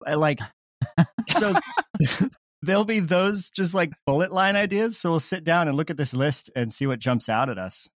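A man's voice: mid-range voice, no echo, studio quality. A man talking casually as an interviewed comedy creator, explaining things in a self-deprecating way.

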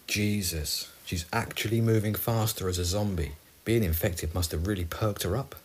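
A man playing a deadpan husband in a zombie comedy audio drama. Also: lowish voice, moderate pace, slightly rough.

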